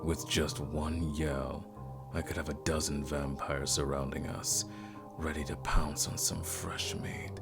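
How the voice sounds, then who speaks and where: A man acts out a seductive male vampire lord with an extremely low voice that crackles with gravel. He draws his words out slowly, teasing and condescending like a predator toying with prey, in an intimate ASMR audio drama. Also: exaggerated voice. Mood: menacing, amused. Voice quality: deep, creaky, breathy at times. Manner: slow, teasing, drawn-out, condescending. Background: music.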